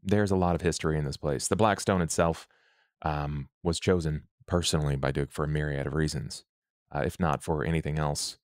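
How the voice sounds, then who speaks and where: A man improvising a description as a tabletop player, halting and explanatory. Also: extremely low pitch, fairly even intonation, dry recording, clean studio sound.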